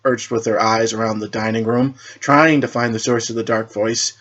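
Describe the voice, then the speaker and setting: Halting man reading a story, lo-fi sound. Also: mid-range voice, little pitch movement, a bit bright. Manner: halting, stumbles and repeats words while reading. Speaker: narrator reading own superhero story. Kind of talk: amateur story narration with character voices. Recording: dull lo-fi recording.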